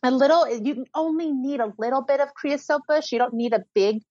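A woman's voice: sits high, brisk, a little mellow, no room sound, dull audio. A woman talking casually and eagerly, rambling with frequent restarts. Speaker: herbalist guest. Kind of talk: casual conversation.

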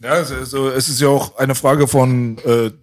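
Man, mid pitch, reflective, hesitant, casual chat. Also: steady tone, normal speed.

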